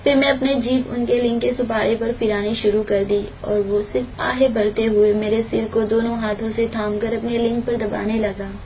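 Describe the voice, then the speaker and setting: A woman's voice: on the high side, level tone, moderate pace, hardly any pauses, a little mellow. A woman reading a first-person erotic audio story aloud, occasionally stumbling and repeating words, on a noisy home-style recording.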